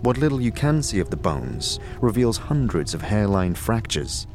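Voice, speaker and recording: A man's voice: on the low side, sing-song, normal speed, a little mellow, faint music behind. A man narrating a scripted fantasy audio drama in a dramatic storytelling manner.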